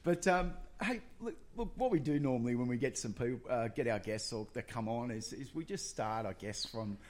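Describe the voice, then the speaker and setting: A man's voice: mid-range voice, expressive, darkish tone, a little hiss or hum. A man hosting a casual talk show, chatty and effusive. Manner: chatty, effusive, fast bursts. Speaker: host. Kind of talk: casual radio chat.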